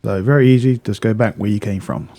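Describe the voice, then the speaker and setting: A man's voice: on the low side, fast, creaky, warm tone, slight background noise. A man narrating a video game walkthrough in a casual, instructional way, explaining step by step and often repeating or correcting himself.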